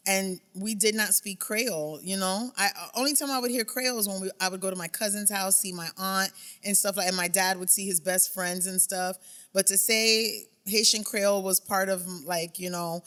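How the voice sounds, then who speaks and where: A woman's voice: medium pitch, fairly bright tone, long reverb tail. A woman who hosts radio, talking casually in an interview, telling stories and acting out the voices of people she quotes. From South Florida. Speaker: radio host, podcast guest.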